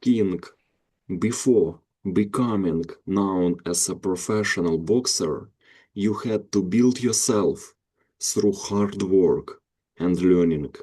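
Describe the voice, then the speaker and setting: A man hosting his channel, reading prepared interview questions slowly and carefully. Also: low voice, warm tone.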